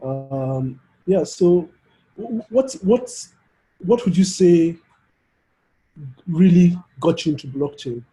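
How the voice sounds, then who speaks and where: Male interviewer, very mellow dark tone, slightly high pitch, casual, halting questions. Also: lively intonation, poor audio. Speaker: interviewer, summit organizer.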